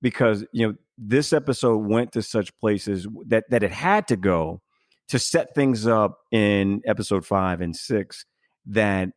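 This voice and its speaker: A man's voice: fairly low pitch, unhurried, no room sound, studio quality. A man hosting a podcast in casual chat, rambling with many fillers and restarts as he reads and reacts. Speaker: podcast host.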